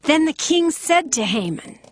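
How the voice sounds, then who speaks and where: A woman's voice: high-pitched, big pitch swings, moderate pace. A woman reading scripted narration for a dramatized scripture recording.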